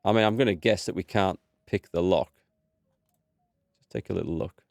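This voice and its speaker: A man's voice: low-pitched, moderate pace, gravelly, a little mellow, dry recording. A man with a cold and a blocked-up nose, casually commenting on his game as he plays and thinks aloud. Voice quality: slightly blocked, stuffy nose. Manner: easygoing, thinking aloud, reads in-game text. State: recovering from a cold, voice not back. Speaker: gaming video host. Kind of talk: relaxed let's play commentary.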